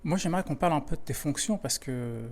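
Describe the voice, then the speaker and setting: A man's voice: on the high side, quick pace. A man hosting a podcast, putting informal questions to his guest. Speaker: podcast host, interviewer.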